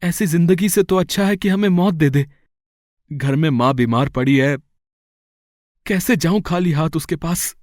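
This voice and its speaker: A man's voice: a little high, measured pace, pauses often, darkish tone, dry recording, studio quality, close to an everyday voice. A man acting a humble, pleading poor fruit seller. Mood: anguished. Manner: pleading, emotional. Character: poor, despairing young male fruit seller, humble and devoted. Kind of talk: dramatised moral story acting.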